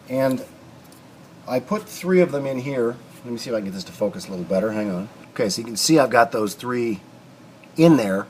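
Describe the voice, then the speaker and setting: A man giving an unscripted, casual workshop tutorial, rambling and thinking aloud in a folksy way as he works. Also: fairly low pitch. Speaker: hobbyist restorer demonstrating repairs. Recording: clear background noise, home workshop video.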